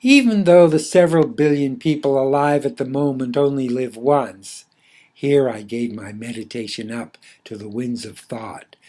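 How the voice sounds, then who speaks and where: A man reading his own philosophical essay aloud in a measured, deliberate, formally literary way. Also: mid-range voice, darkish tone.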